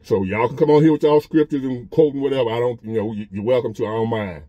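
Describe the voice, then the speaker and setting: A man in his fifties with a drawl speaks earnestly from inside a parked truck, going over the same points again and again in a plain, conversational way. His voice is dark and mellow, and the sound is dry and close, with no echo. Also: mid-range voice, quick pace, muffled recording. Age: 50s. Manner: earnest, repetitive, conversational preaching. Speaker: vlogger. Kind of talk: casual motivational monologue.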